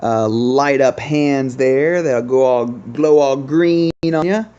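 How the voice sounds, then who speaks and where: A man's voice: medium pitch, slow pace, mellow, smooth, highs cut off. A man doing casual, explanatory game commentary as the host of a gaming video.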